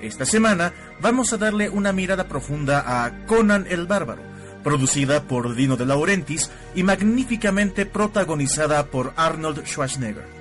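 A man's voice: a little high, animated, moderate pace. A male radio announcer formally introducing a segment from a script, over background music.

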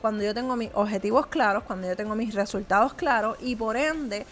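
Earnest woman, higher voice. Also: a little fast. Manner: earnest, conversational, frequent "eh" fillers. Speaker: small business owner and coach. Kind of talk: motivational vlog talk to viewer.

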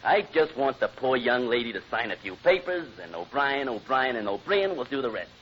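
A man's voice: medium pitch, very animated, a little fast, fairly bright tone. A man playing a smug, meddling divorce lawyer with blustering, theatrical delivery in an old radio comedy. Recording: dull, noisy old radio recording.

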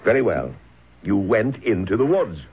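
Stern bossy scheming dad, old radio drama, deep and really animated delivery. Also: male voice, normal speed, slightly rough, heavily acted. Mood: cold, calculating. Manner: stern, commanding, impatient. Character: domineering, scheming rich father coaching his guilty son. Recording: muffled phone-like old radio recording.

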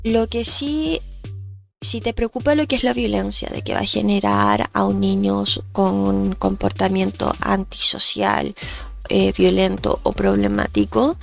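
A woman's voice: medium pitch, very animated, a little slow, vocal fry, faint music behind. A woman hosting a podcast, sounding nasal from allergies and explaining thoughtfully with fillers and restarts. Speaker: podcast host and researcher.

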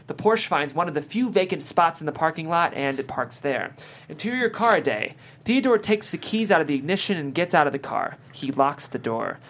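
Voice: medium pitch, animated, moderate pace, fairly bright tone. A narrator reading the scene headings and action lines of a screenplay as scripted narration. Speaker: narrator reading stage directions.

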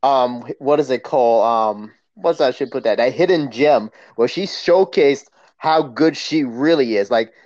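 A man's voice: mid-range voice, measured pace, darkish tone, highs cut off. A man co-hosting a wrestling podcast, chatting about sport in a casual, slangy way.

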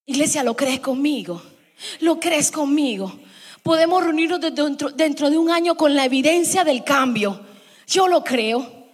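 Lady preaching in a church hall, high husky voice, lots of echo, keeps repeating herself for emphasis. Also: moderate pace. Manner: emphatic, repeats lines to the congregation. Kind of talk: sermon.

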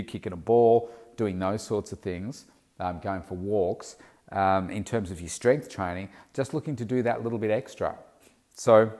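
A man with a somewhat low voice and a snuffly, blocked-nose sound from hay fever. He talks as a personal fitness coach, laying out plans step by step in an easy, conversational way. He fills his pauses with ums and uhs. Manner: conversational, instructive, talks in steps with frequent ums. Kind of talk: casual advice monologue.

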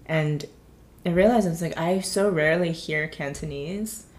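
A woman from China with a low voice chats in an easygoing, thoughtful way. She often pauses on "like" and "um" while she works through her ideas. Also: a little mellow. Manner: chatty, thoughtful, frequent fillers. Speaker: guest. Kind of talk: casual chat.

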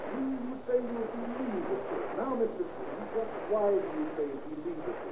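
An actor playing a combative, theatrical trial lawyer who badgers witnesses in formal 1930s radio drama style. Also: male voice, high voice, expressive, moderate pace. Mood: insistent. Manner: forceful, badgering, formal courtroom delivery. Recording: noisy muffled old radio, echoey.

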